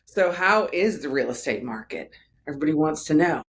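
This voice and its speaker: A woman's voice: low voice, animated, fairly quick. A woman hosting a podcast, asking her guest short, friendly questions. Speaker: podcast host. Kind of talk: podcast interview.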